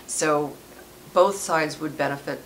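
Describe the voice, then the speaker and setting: A woman who teaches English as a second language gives thoughtful, measured interview answers, pausing often to think. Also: sits low, slight background noise, low-quality recording. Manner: thoughtful, measured, with frequent "um" pauses. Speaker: ESL teacher. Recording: music bed under voice.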